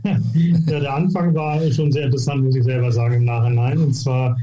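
A man in his sixties from near Hamburg, a golf coach telling lively, self-ironic anecdotes as a podcast guest. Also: medium pitch, fairly quick, a little hiss or hum. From northern Germany, near Hamburg. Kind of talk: casual interview storytelling. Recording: roomy echo.

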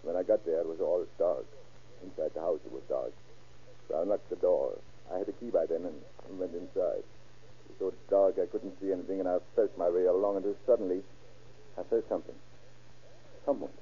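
Casting: cornered killer, jittery and pleading, husky voice, vintage radio crime serial, narrow tinny sound. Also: male voice, sits low. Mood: haunted confession. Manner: halting, stammering, pleading. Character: cornered male murder suspect, guilt-ridden and jittery. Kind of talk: 1930s radio crime drama acting. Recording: muffled, tinny old-time radio recording.

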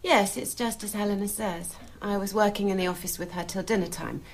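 An actress playing a composed, businesslike office assistant in a radio drama, giving an orderly, matter-of-fact account. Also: female voice, mid-range voice, fairly even intonation, a little fast, natural voice. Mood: factual.

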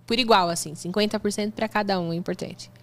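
A woman's voice: mid-range voice, fairly even intonation, fast talker. A woman co-hosting a podcast who gives short agreeing replies and asks questions. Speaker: podcast co-host. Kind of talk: casual podcast chat.

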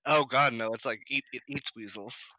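A young man from Massachusetts co-hosting a podcast, laid-back and quick to laugh. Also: medium pitch, bright, forceful tone, no echo, poor audio. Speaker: podcast co-host. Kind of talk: casual chat.

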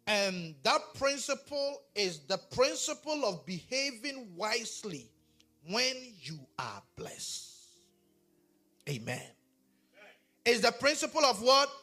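A man's voice: very high-pitched, takes it slowly, faint music behind. A man from Ghana with a Ghanaian accent, preaching a sermon and talking back and forth with his congregation. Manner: animated, talks with the congregation. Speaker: pastor.

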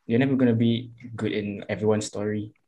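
A man's voice: fairly low pitch, steady tone, fairly quick, muffled recording. A man hosting a casual podcast, talking in a blunt, laid-back way and swearing freely. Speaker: podcast host.

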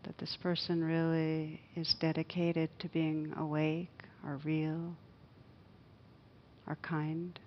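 Slow, low-voiced woman guiding meditation. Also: monotone, highs cut off, slight background noise. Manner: gentle, hushed, unhurried with long pauses. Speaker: meditation teacher. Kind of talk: guided loving-kindness meditation.

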